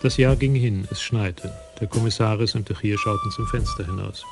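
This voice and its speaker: A man narrating a radio crime drama in an even, matter-of-fact way. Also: lowish voice, moderate pace, music in the background, lightly acted.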